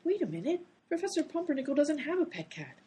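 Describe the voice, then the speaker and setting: A woman's voice: high-pitched, big pitch swings, fast talker, warm tone. A woman playing an exasperated female character in a comic detective radio play. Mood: alarmed. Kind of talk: comedy radio play acting.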